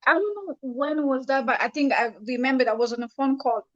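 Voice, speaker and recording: A woman co-host with a high voice who talks about connecting people with investors in a chatty way, full of fillers. The sound is dry and close, with a dull, lo-fi tone. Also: a little fast, no room sound. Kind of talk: casual talk show discussion.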